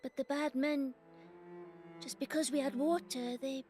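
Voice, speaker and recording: A woman's voice: sits high, steady tone, a little slow, warm tone, soft background music. A woman voicing a proud, wounded young village child in a video game. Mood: sorrowful. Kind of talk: video game cutscene acting.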